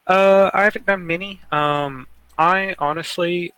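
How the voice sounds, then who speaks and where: A man's voice: high-pitched, fairly even intonation, a little slow, sharp tone, smooth, no room sound. A man chatting casually as a co-host on a gaming podcast.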